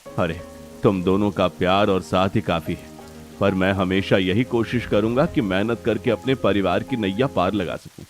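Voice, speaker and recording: Male actor as stubborn poor elder brother in cartoon melodrama. Low voice, dignified, firm, music underneath. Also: steady tone, moderate pace, close to an everyday voice. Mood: earnest, proud. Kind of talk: Hindi cartoon family melodrama.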